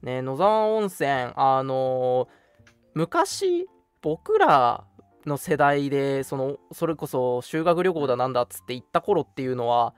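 A young man hosting a radio show, chatting casually and reminiscing in an animated storytelling way. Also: mid-range voice, unhurried, smooth, no room sound, faint music behind. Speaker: radio talk show host.

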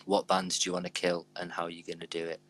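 A man's voice: deep voice, completely flat delivery, fast talker, fairly bright tone, slight background noise. A British man hosting a casual live show with jokey, sweary, blokey banter. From Britain. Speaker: host.

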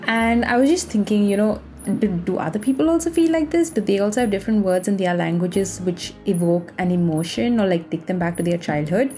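Woman, from India, podcast host, cheerful, chatty, warm voice, faint music underneath. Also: medium pitch, animated, fairly quick. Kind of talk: casual podcast talk.

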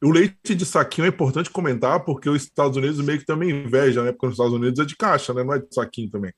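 Give me a fast-talking Brazilian podcast host with a husky voice. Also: male voice, medium pitch. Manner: curious, asks follow-up questions. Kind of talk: casual interview chat.

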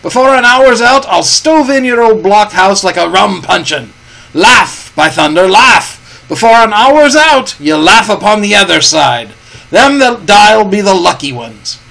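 A man voicing a gruff, peg-legged old pirate cook who bargains and threatens in rough sailor's talk. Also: high-pitched, big pitch swings, moderate pace, pitched above the performer's own voice, full character voice, poor audio. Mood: raging. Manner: rough sailor's dialect, loud and blustering. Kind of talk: storybook reading.

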